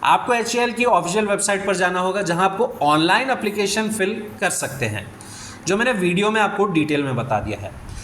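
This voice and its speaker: A man with a high voice speaks in an echoey room with faint noise behind him. He explains details to viewers step by step, like a job-news host, mixing in English phrases. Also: animated, fairly quick, fairly bright tone. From India. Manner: instructional, switches into English phrases.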